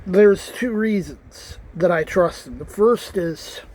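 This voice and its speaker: A man's voice: sits high, slow pace, a little mellow. A man talking casually to camera in an earnest, rambling way, with restarts and asides. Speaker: vlogger.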